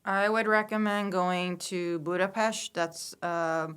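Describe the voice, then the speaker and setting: Hesitant woman with a smooth voice. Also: on the low side, steady tone, slow pace, a bit bright. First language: Hungarian. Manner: hesitant, many ums, short answers. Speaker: podcast guest. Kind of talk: casual interview.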